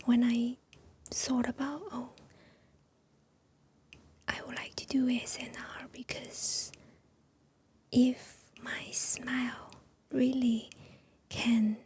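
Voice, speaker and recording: Soft, slow whispering woman. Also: a little high, little pitch movement, husky. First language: Chinese. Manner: soft unvoiced whisper with many pauses and fillers. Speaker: ASMR creator. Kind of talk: whispered ASMR chat.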